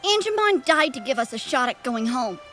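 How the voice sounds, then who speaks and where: A woman's voice: sits high, big pitch swings, brisk, fairly bright tone, soft background music. A woman voicing an earnest, brave little boy in a cartoon parody. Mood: earnest, determined. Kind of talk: fan-dub parody cartoon acting.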